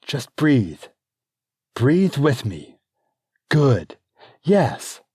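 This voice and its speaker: A man's voice: mid-range voice, big pitch swings, drawn-out words, a little mellow, slightly rough, dry recording, clean studio sound, voice pushed up, full character voice. A man voicing a female midwife who is firm and coaxing. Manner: coaxing, commanding.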